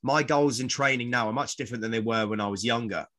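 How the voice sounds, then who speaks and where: A man's voice: mid-range voice, fast talker, a bit bright. A man in his forties, a fitness trainer chatting casually and rambling, repeating words as he explains. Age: 40s. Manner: rambling, repeats words, acts out quoted voices. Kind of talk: casual podcast chat.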